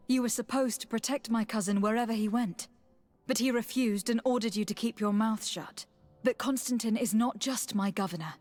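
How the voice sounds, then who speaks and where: An actress playing an earnest, determined noblewoman diplomat in a video game, speaking in a formal, measured, probing way. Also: medium pitch, darkish tone, lightly acted. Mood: worried. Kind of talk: dramatic video game cutscene acting.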